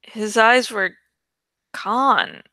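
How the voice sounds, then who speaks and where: A woman narrates adventure fiction with expressive suspense. Her voice is bright and smooth, a little gravelly at the edges. She takes her time with each line. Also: on the high side, extremely slow, no room sound, studio quality. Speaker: audiobook reader. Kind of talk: dramatic scripted narration of a young adult novel.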